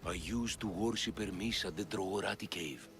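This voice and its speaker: An actor playing a poor, despondent, god-fearing man in a video game, speaking haltingly and plaintively. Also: sits low, over music. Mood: weary, fearful. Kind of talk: video game cutscene acting.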